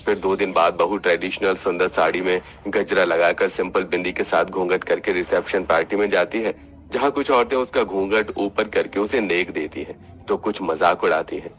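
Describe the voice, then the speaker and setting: A man's voice: deep voice, normal speed, fairly bright tone. A man delivering scripted story narration for a cartoon family tale.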